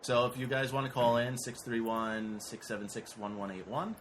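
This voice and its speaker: A man's voice: medium pitch, normal speed, slight background noise. A man in his thirties hosting a casual podcast, dry and sarcastic, talking in a laid-back way with lots of fillers. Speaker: podcast host.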